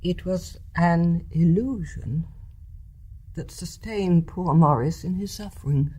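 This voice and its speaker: An actress playing a grave, resolute older British mother in a radio drama, speaking in a measured, refined and deliberate way. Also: on the low side, warm tone, breathy edge. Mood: tender, sorrowful. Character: grave, resolute older English mother confessing her deed.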